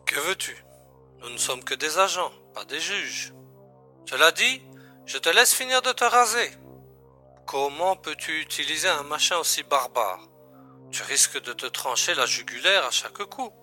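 A man playing a calm, matter-of-fact secret agent colleague in a science-fiction audio drama, explaining things in a familiar way. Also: on the high side, moderate pace, faint music behind, full character voice. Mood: resigned, calming, then teasing.

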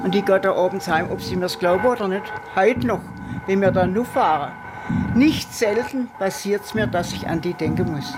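A woman's voice: medium pitch, moderate pace. An older woman with a southern German accent recalling memories in an unscripted interview. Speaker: interviewed witness. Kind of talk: spontaneous recollection in an interview. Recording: clear background ambient noise.

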